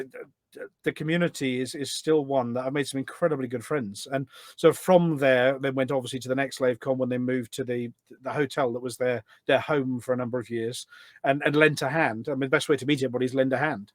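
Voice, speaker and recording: A middle-aged man chatting casually as a podcast guest, telling stories in an animated way. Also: mid-range voice, fast talker, no echo. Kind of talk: casual anecdotal chat.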